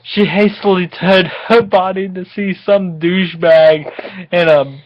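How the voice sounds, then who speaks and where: A woman's voice: on the low side, steady tone, measured pace, muffled recording. A drunk woman doing a mock-dramatic amateur reading aloud, giggly and stumbling, with sweary casual asides. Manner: unpolished, giggly, stumbles and swears over the text. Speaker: amateur co-reader of a fan story.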